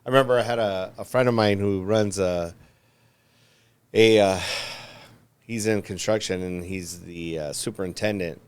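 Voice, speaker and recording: A man's voice: deep voice, steady tone, normal speed, clean tone. An American man hosting a podcast in a casual, informal chatty style. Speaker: podcast host. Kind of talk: casual chat.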